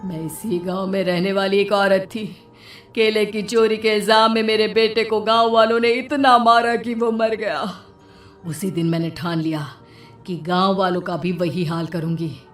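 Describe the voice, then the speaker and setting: Vengeful cartoon witch acted by a woman, theatrical, menacing, unhurried delivery, background music. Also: medium pitch, steady tone, far from the mic, natural voice. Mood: bitter. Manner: menacing, theatrical, drawn-out taunting. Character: vengeful witch ghost luring children with bananas. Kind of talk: Hindi cartoon story acting.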